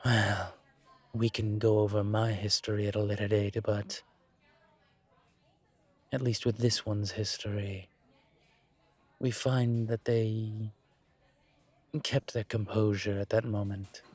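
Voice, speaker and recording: Man playing a slow, wry, low-voiced incubus. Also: level tone, slightly rough. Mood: grave, explaining. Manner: measured, deliberate, wry, conversational. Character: composed, wry male incubus demon investigator, politely self-assured. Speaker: audio roleplay performer. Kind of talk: scripted one-sided roleplay audio drama.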